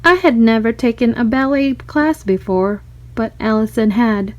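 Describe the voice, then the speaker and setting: A woman narrating a children's storybook in an expressive read-aloud style. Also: on the high side, moderate pace, dull audio.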